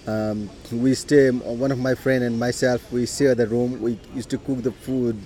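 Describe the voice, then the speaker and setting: A middle-aged man with a Nepali accent, telling his life story in an unhurried way, with frequent "you know" and throat clearing. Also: medium pitch, level tone, warm tone. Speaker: interviewee. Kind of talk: personal life story told in conversation.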